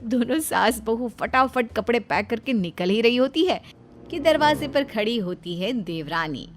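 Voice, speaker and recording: A woman's voice: fairly high pitch, lively intonation, measured pace, a little hiss or hum. A woman narrating a scripted cartoon story.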